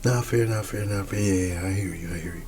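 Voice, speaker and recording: A man doing a soft-spoken ASMR roleplay as an easygoing, quirky schoolteacher, often dropping into a whisper and repeating short, folksy, reassuring phrases. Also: sits low, quick pace. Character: quirky, easygoing male schoolteacher addressing his class. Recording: clearly audible background noise.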